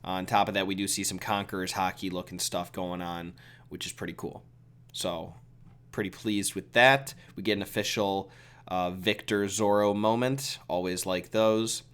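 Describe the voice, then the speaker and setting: A man who is a little sick hosting a relaxed, chatty solo review, giving his opinions with lots of fillers. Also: deep voice, moderate pace, smooth. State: sick with a cold. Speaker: video host reviewing a comic chapter. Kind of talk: casual solo commentary.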